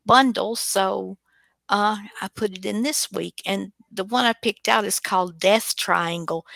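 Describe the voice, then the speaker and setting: A woman's voice: medium pitch, creaky, a bit bright, no echo. A woman hosting a radio show in casual chat.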